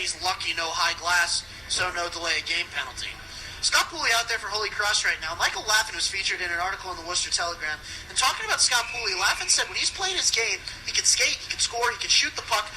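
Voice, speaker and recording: A man calling live ice hockey play-by-play on the radio with urgent, excitable delivery. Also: sits high. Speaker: play-by-play announcer. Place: ice hockey rink broadcast position. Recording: noisy arena radio broadcast.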